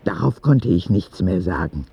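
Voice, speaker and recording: A man playing a sick ten-year-old boy who reads his candid, earnest letters to God in a husky, gravelly voice, in a radio drama. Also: low voice, expressive, normal speed, muffled recording, full character voice. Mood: pensive. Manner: confiding, earnest, with naive directness.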